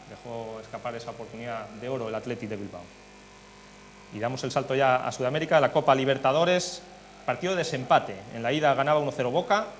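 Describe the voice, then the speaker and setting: A man with an accent from Spain presents a football retrospective as host, talking conversationally through results and match action. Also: medium pitch. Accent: Spanish, from Spain. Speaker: host of a football history show. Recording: noisy recording with electrical hum.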